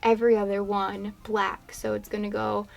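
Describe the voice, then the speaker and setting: Brief: young woman, stuffed-up and slightly worn voice, casual even-toned craft-vlog voiceover, rambling chatter. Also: medium pitch, normal speed, gravelly. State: congested, voice fading. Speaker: craft YouTuber. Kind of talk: casual voiceover chat over a painting demo.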